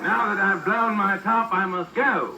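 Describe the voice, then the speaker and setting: A man's voice: high voice, measured pace, vocal fry, over a noisy crowd. An actor playing a vain, boastful ladies' man with a pompous, florid manner in old radio comedy style. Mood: huffy, self-important. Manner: pompous, self-admiring, florid. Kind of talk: 1940s radio comedy acting. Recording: noisy old radio recording.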